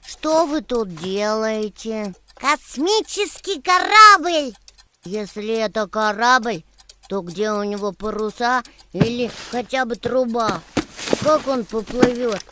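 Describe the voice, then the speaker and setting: A woman's voice: fairly high pitch, lively intonation, slow, background noise. A woman voicing a bossy, stubborn little boy kitten in a children's cartoon, with a childlike manner. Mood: assertive.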